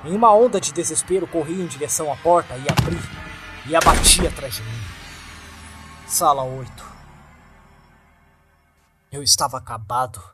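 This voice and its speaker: Higher-voiced Brazilian man narrating horror. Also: normal speed, pauses often. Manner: theatrical, suspenseful delivery. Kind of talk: dramatic scripted narration. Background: music.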